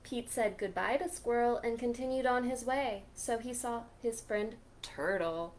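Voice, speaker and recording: A woman hosting a children's storytime, reading a picture book aloud in a deliberate, expressive way. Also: on the high side, a little hiss or hum. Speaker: children's librarian storytime host.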